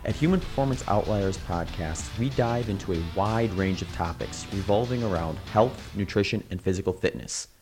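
A man with a fairly low voice delivers a scripted podcast intro. He works as the show's announcer, with light guitar music playing behind him. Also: normal speed, hardly any pauses.

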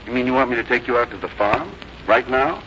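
Actor as lively, fussing, reassuring grown son. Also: male voice, mid-range voice, quick pace, close to an everyday voice. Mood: hesitant, agreeable. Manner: folksy, solicitous, hesitant patter. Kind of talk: 1950s radio drama acting. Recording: dull, noisy 1950s radio recording.

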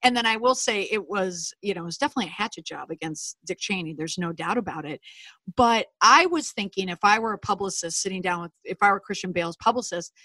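A woman co-hosting a casual commentary chat, opinionated and animated, often laughing. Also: medium pitch, fast, no room sound, low-quality recording.

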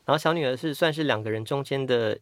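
A man's voice: medium pitch, fast talker, no room sound. A man with a Taiwanese Mandarin accent chatting as a voice director on a panel, explaining things in a talkative way. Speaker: voice director, podcast guest. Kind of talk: casual panel chat. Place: podcast recording studio.

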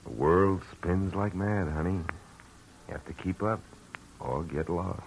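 An actor playing a tough, world-weary private detective in a 1940s radio drama, talking in clipped, hard lines. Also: male voice, deep voice, measured pace, vocal fry, darkish tone, rough recording. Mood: gentle. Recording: old radio broadcast with faint hiss.

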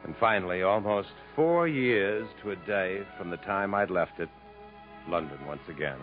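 A very low male voice on a tinny old radio broadcast. a weary, ardent wanderer with earnest, theatrical delivery, as in a fifties radio play. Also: lively intonation, moderate pace, music in the background. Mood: reflective narration. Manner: earnest, dramatic, literary phrasing. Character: weary, ardent wanderer returning home to his lost love. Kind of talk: 1950s radio drama acting, narration and dialogue.

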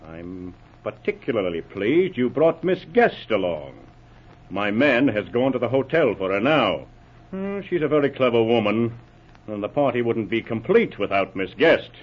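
An actor playing a smug, menacing smuggling boss, mock-polite and taunting, in a 1940s radio drama. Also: male voice, medium pitch, big pitch swings, moderate pace, husky. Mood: smug menace. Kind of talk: 1940s radio villain acting. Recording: muffled old radio recording.

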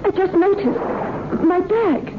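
A woman's voice: very high-pitched, slow pace. An actress playing a nervous young woman pleading with her man in an old-time radio horror play. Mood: anxious. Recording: muffled, very noisy 1960s radio recording.

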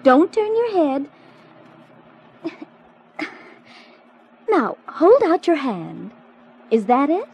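An actress playing a detective's sassy, jealous young secretary in a 1950s radio drama, with a teasing, pert, sardonic delivery. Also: female voice, high voice, wildly swooping pitch, slow pace, highs cut off, a little hiss or hum, full character voice. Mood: smug, teasing. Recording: 1950s radio drama recording, music behind.

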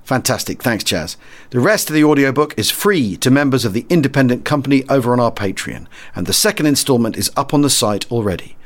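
A man's voice: medium pitch, lively intonation, a little fast, faint music behind. A man hosting a podcast, giving a scripted-sounding outro announcement to listeners. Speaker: podcast host.

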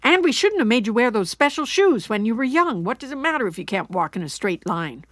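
A woman's voice: a little high, very animated, fairly quick, no echo, clean studio sound. A middle-aged woman playing an anxious, apologetic mother who rambles and frets in a naturalistic audio drama. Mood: apologetic, rambling. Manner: rambling, fretful, self-blaming.

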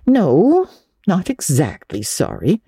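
A stern older woman acted by a storybook reader speaks in a low, mellow register. She is brisk, practical and dryly sarcastic, with no patience for nonsense. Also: wildly swooping pitch, breathy edge, no echo, studio quality, exaggerated voice. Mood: grudgingly fond. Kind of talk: dramatic audiobook reading of classic children's literature.

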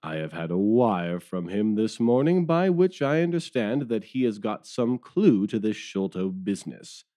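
A man plays a humbled police detective in an audio drama. The voice is low and mellow, with pitch that swings widely. He is wordy, deferential and rueful. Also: sing-song, measured pace, few pauses. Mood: admiring.

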